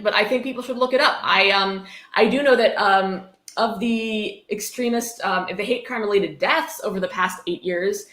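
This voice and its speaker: A woman talks casually on a livestream in a roomy, echoey space. She is blunt and exasperated, swears freely, and comments on what she reads. Her pitch sits in a middle range for a woman. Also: a bit bright. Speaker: livestream host. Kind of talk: casual livestream commentary, reading aloud and reacting.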